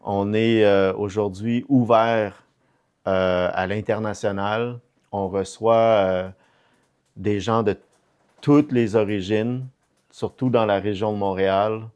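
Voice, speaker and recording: A man's voice: fairly low pitch, fairly even intonation, slow, a little mellow, clear, resonant tone. A middle-aged man with a Québécois French accent chatting casually on a podcast, hesitant and often repeating words as he searches for the next one. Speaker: podcast co-host or guest.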